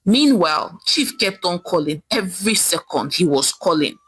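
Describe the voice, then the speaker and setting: A woman with a Nigerian accent telling a folktale as an expressive narrator. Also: fairly low pitch, moderate pace, breathy edge. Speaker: storyteller. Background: soft music.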